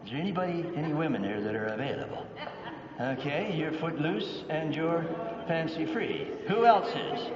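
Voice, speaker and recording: A man's voice: a little high, lively intonation, normal speed, a loud crowd, very noisy. Canadian man, playful speaker in echoey hall. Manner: playful, teasing audience interaction. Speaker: business speaker. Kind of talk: live motivational talk. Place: large hall at an expo. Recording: echoey hall, distant mic, dull sound.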